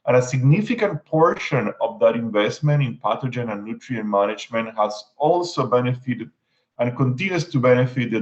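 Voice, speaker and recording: A man from Puerto Rico with a Puerto Rican Spanish accent, giving prepared panel remarks as an agency official, halting with fillers and restarts. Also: medium pitch. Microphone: video call. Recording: dull lo-fi video call audio.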